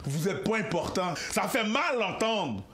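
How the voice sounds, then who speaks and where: A man's voice: high-pitched, big pitch swings, unhurried, fairly bright tone, reverberant, a little hiss or hum. A man who is a comedian, delivering a sarcastic, mocking rant in a comedy video.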